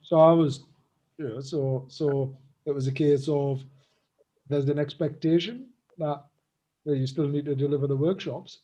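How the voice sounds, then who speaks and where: A man co-hosting a casual business chat, his voice a little croaky, speaking hesitantly with many fillers and pauses. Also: on the high side, steady tone, mellow, dull audio. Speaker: co-host.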